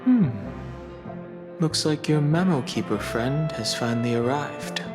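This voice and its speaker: A man's voice: on the high side, animated, measured pace, music in the background. A man voicing a level-headed young man who speaks in a terse, matter-of-fact way. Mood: measured. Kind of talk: video game voice acting.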